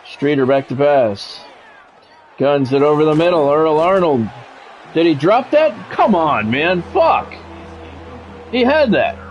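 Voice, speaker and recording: A man's voice: fairly high pitch, very animated, slow pace, long pauses, muffled recording, slight background noise. A middle-aged man commentating a sports video game in radio play-by-play style, with short clipped calls and casual, salty asides. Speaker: gaming streamer and play-by-play commentator.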